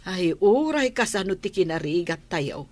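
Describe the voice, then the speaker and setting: A woman's voice: lowish voice, sing-song, measured pace, darkish tone, no echo. An actress with an Ilocano accent playing a proud, tender village mother in a radio drama. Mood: proud, moved.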